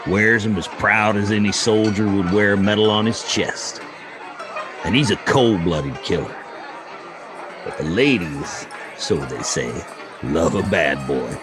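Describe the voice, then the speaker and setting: A man's voice: sits low, over music, a crowd in the background, voice pushed up. A man voicing a cantankerous old Wild West storyteller with a wheezy, folksy delivery, in an audiobook reading. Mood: relishing the tale. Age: older. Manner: folksy, gruff, drawn-out yarn-spinning.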